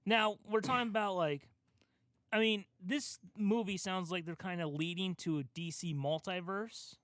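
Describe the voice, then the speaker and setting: A man's voice: high-pitched, steady tone, moderate pace, a bit bright, dry recording. A man co-hosting a casual podcast, talking in a rambling, enthusiastic fan's way. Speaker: podcast co-host. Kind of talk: casual podcast discussion of comic book films.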